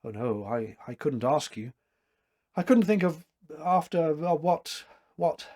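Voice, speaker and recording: A tearful young woman from a storybook, voiced by a man, timid and pleading. The delivery halts and trembles, pitched up a little, with a husky edge. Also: lively intonation, slow, a little mellow. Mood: flustered.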